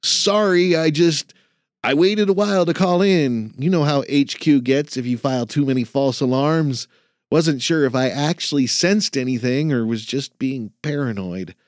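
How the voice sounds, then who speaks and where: A man's voice: medium pitch, normal speed, no echo, studio quality, voice pushed up, close to an everyday voice. A man voicing a nervous, eager young male student who talks hesitantly and apologetically.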